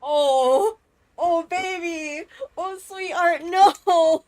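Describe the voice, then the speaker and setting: A woman's voice: very high-pitched, extremely slow, darkish tone. Cooing woman reacting to a game. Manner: sympathetic, cooing comments. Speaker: streamer. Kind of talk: live reactions while playing a game.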